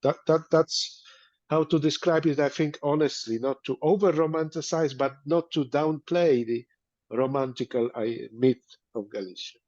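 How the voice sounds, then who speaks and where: A man from Poland with a Polish accent, speaking in an interview as a guest and pausing often with fillers and restarts. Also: fairly high pitch, darkish tone, lo-fi sound. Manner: hesitant, many fillers and restarts. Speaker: museum director, guest. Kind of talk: interview, casual talk.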